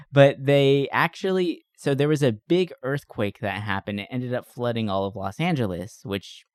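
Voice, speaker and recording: A man's voice: mid-range voice, unhurried, clean tone, dry recording, clean studio sound. A man co-hosting a podcast, chatting informally and enthusiastically in a casual, slangy review style. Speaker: podcast co-host. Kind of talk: casual movie review chat.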